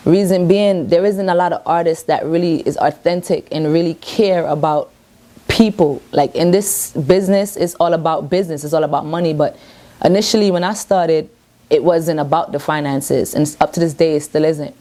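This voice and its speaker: A woman's voice: low voice, fairly even intonation, normal speed, mellow. A woman, a reggae artist speaking at her home in a casual interview. She talks earnestly and self-assuredly in loose, rambling sentences. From Brooklyn, New York.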